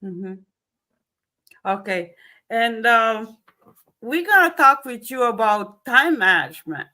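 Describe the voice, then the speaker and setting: A woman's voice: fairly high pitch, sing-song, takes it slowly, sharp tone. A woman with an Estonian accent, a non-native English speaker, hosting an online interview with friendly, easygoing questions. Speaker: host.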